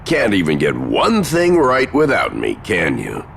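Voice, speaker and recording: Gravelly, cocky warrior dad. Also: male voice, fairly low pitch, wildly swooping pitch, a little slow, fairly bright tone, slight background noise, heavily acted. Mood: taunting, stern. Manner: swaggering, teasing, blunt. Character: cocky, taunting middle-aged warrior father, gruffly affectionate. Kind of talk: fantasy video game voice acting.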